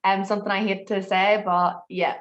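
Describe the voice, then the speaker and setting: A woman's voice: medium pitch, flat delivery, moderate pace. Irish woman, brand ambassador, friendly and chatty, muffled call audio. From Ireland. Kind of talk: virtual tasting masterclass, friendly presenting.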